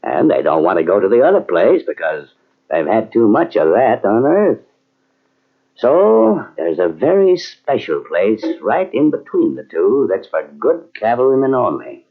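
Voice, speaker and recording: Genial cavalry officer, low voice. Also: male voice, wildly swooping pitch, measured pace, exaggerated voice. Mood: wistful, musing. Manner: easygoing, avuncular, assured. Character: genial, confident frontier cavalry commanding officer. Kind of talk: old-time radio drama acting. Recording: muffled old radio drama from cassette tape.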